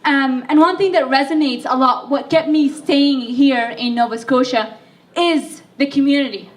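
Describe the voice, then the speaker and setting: A woman's voice: sits high, normal speed. A young woman from Libya, who is sick, giving a chatty, self-deprecating speech to an audience, with plenty of fillers. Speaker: speaker. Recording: voice from far off the mic.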